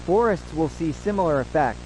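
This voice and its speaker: A solemn government emergency announcer played by a man, speaking in a slightly high male voice. The delivery is formal and measured, like an official survival bulletin in an audio drama. A faint hum and hiss sit behind the words. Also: animated, a little mellow, natural voice, poor audio. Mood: solemn, instructive. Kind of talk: scripted emergency broadcast narration.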